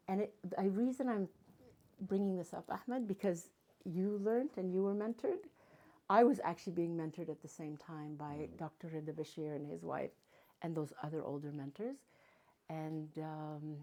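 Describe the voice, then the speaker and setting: Older woman, guest speaker. Lower voice, chatty, tells stories with playful asides. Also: dark tone. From Canada. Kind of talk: casual talk to a live audience.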